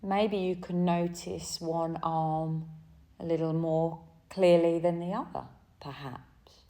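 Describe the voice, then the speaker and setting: A woman leading a guided relaxation as a yoga nidra teacher, speaking gently and soothingly in short phrases with pauses between them. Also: fairly low pitch, steady tone.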